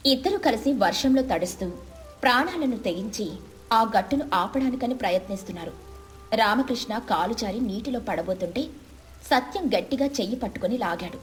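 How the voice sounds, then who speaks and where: Casting: female narrator for moral tales, slightly high voice, faint music bed. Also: lively intonation, moderate pace. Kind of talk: scripted moral story narration.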